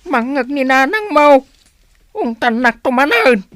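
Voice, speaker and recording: A woman's voice: high-pitched, lively intonation, no room sound, studio quality. A woman with an Ilocano accent playing a sly, easygoing old father in a radio comedy drama. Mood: furtive, hushing. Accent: Ilocano, northern Philippines. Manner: slow, hushing, drawling.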